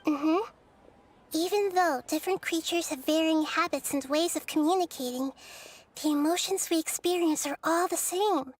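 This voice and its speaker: A woman voicing a gentle, wise, young-looking girl goddess in a video game, speaking softly and thoughtfully. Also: very high-pitched, moderate pace, slight background noise. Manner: soft, thoughtful and reassuring.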